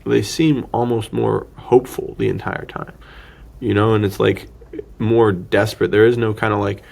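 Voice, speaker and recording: A man's voice: fairly low pitch, steady tone, moderate pace, creaky, darkish tone. A man co-hosting a podcast, discussing film casually and thinking aloud in a rambling, slangy way. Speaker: podcast co-host.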